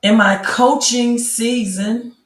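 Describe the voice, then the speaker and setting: A woman with a raspy, hoarse voice hosting a casual late-night live stream from home, chatting slowly and greeting viewers by name. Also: a little high, fairly even intonation, roomy sound, distant mic. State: hoarse from yelling while coaching. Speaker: crypto and news live streamer. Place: at home at a laptop.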